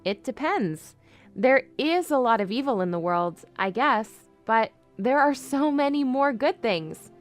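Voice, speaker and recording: A woman's voice: on the high side, lively intonation, unhurried, soft background music. A woman playing a spirited young princess in an audio drama, polite but bold in manner. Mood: wistful, earnest. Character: spirited young princess posing as a lady's maid. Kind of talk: fan audio drama acting.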